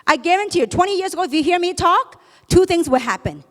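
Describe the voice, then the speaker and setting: High-pitched woman speaker in an echoing hall. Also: lively intonation, quick pace. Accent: Chinese. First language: Chinese. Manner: emphatic, rhetorical questions answered by herself. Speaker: motivational business speaker. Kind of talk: motivational sales seminar talk to an audience. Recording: echoey hall, long reverb.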